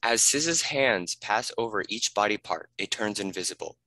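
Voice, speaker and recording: A man's voice: lowish voice, lively intonation, moderate pace, pushed and bright, no echo, poor audio. A man giving scripted narration, reading out the stage directions of a screenplay.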